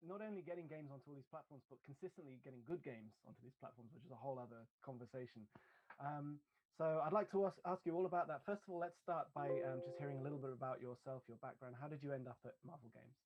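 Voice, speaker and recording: A man's voice: medium pitch, quick pace, a little mellow, rough recording. A man interviewing a guest over a video call, asking questions in a friendly, chatty manner.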